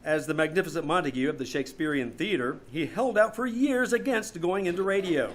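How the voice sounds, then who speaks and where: A man's voice: a little high, brisk. A man announcing a scripted old-time radio show in a theatrical, showman-like manner. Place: small theater stage, live performance.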